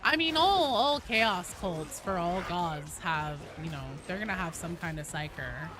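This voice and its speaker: A woman's voice: fairly low pitch, lively intonation, unhurried, background noise. A woman streaming games, chatting casually and musing aloud as she goes. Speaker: game streamer. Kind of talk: casual chat. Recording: loud music behind the voice. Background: loud music.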